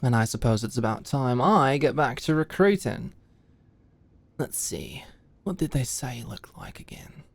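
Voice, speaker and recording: A woman's voice: rumbling low, expressive, measured pace, gravelly, exaggerated voice. A woman playing a composed, wry senior corporate mentor who muses and confides in a scripted ASMR audio roleplay. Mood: musing.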